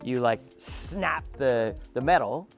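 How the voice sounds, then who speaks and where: A man's voice: medium pitch, animated, takes it slowly, gravelly. A man hosts a casual walkthrough on a building site, chatting in a self-deprecating, joking way. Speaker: host and builder. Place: outdoor residential construction site under a raised house. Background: faint music.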